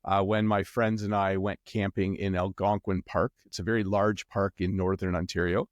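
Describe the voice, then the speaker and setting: A middle-aged Canadian man with a Canadian accent, chatting as an interview guest in a relaxed, rambling way with many ums and restarts. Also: low voice, normal speed, no room sound, dull audio. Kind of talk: casual interview chat.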